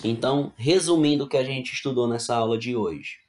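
A male teacher at a middle pitch talks through a slide lesson. He reads each point aloud and then explains it again in everyday words. He keeps checking whether the students are following along. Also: a little fast, darkish tone. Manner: explains casually, checks in with "né?" and "tá bom?". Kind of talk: online class lecture over slides.

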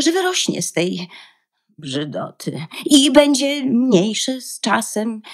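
A woman playing a proud, protective mother duck in a fairy-tale radio play, bossy and caring as she instructs, with a husky, breathy voice. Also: medium pitch, darkish tone, full character voice. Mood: protective, pleading. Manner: instructing, measured, emphatic.

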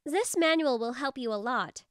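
A woman's voice: high-pitched, animated, moderate pace, clear, resonant tone, no echo, studio quality. An actress playing a firm, protective young female office senior in a manga story dub, assertive and plain-spoken. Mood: matter-of-fact.

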